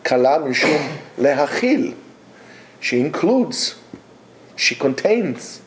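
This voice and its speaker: A male yeshiva teacher lectures his students in an exhorting, emphatic way, stopping often between phrases. His voice sits fairly high and swings widely in pitch. He takes his time and speaks slowly. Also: sing-song, slight background noise. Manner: emphatic, exhorting, with many pauses.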